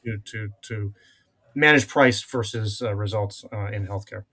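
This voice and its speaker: Measured middle-aged man, halting with fillers. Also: lowish voice, bright, forceful tone. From France. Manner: measured, many filler pauses, occasional chuckle. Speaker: guest, venture capital investor. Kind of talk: conversational interview answers.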